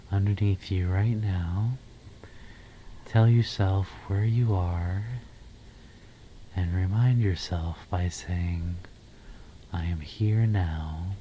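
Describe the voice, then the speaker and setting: A man with a very dark, mellow voice leads a guided ASMR meditation. He speaks very slowly and softly, often slipping toward a whisper, in a gentle, soothing manner. His pitch sits low, and short phrases are set apart by pauses. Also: a little hiss or hum. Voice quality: soft, breathy, half-whispered. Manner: soothing, slow, gentle, pausing between phrases. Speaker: ASMR host guiding meditation.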